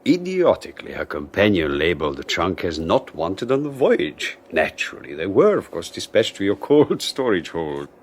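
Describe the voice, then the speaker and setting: Smug scheming husband, very deep, swooping lively delivery, silky and mocking, radio drama. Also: male voice, moderate pace, few pauses, a little hiss or hum. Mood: ingratiating. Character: suave scheming male husband, middle-aged. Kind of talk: 1980s radio drama acting.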